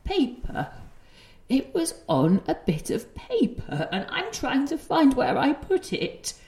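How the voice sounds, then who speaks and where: An elderly grandmother, confused and searching for words. She speaks very slowly and haltingly, with animated rises and falls in pitch. The room around her echoes, as in an audio play. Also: full character voice. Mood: bewildered, searching. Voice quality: halting, hesitant delivery. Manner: muddled, groping for words. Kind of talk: stage-trained audio play acting.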